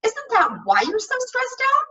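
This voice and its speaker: A woman's voice: extremely high pitch, huge pitch swings, a little slow, sharp tone, far from the mic, lo-fi sound, pitched above the performer's own voice, full character voice. A woman voicing an eager, persuasive teenage girl in an audiobook-style story, gushing and pleading. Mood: coaxing. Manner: pleading, gushing, sing-song at times.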